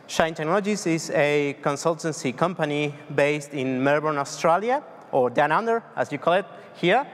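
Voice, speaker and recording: Spanish-accented man, 30s, conference speaker, slightly high voice, loose hesitant delivery, long hall reverb. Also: normal speed, few pauses. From Argentina. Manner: loose, hesitant delivery with many fillers and restarts. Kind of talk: tech conference presentation. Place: large dark conference hall on stage.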